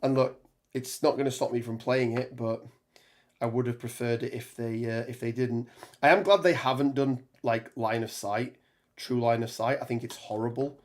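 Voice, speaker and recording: A man with a hoarse voice from a cold, chatting casually on a live stream while building terrain, with lots of ums and restarts. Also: mid-range voice, fairly even intonation, moderate pace. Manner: rambling, many ums and restarts, reads chat aloud. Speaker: tabletop gaming streamer.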